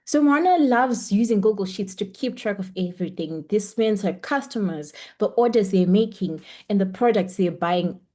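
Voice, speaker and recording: A woman's voice: medium pitch, expressive, moderate pace, few pauses, warm tone. A young woman teaching a webinar over a video call, speaking in a chatty, encouraging way with frequent ums. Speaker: webinar instructor. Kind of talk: online lecture. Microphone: computer mic on a video call.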